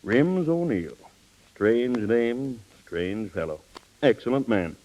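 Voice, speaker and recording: An actor playing a brisk, fatherly older male company president in a 1930s radio drama. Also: medium pitch, animated, a little mellow, poor audio. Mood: brisk, musing.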